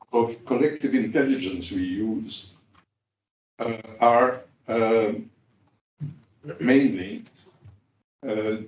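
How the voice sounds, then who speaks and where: A man speaking as a cooperative practitioner on a panel, pausing often with fillers. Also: mid-range voice, darkish tone. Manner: halting, many pauses and fillers. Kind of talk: panel discussion remarks. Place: large conference hall. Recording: echoey hall, distant dull mic.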